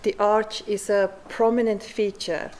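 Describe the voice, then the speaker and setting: A middle-aged woman with a South African accent giving a measured conference talk about her mosaic art, pausing often with "um". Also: mid-range voice, level tone, darkish tone, rough recording. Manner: slow and measured, with frequent "um" pauses. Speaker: mosaic artist presenting at a forum. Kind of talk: conference presentation.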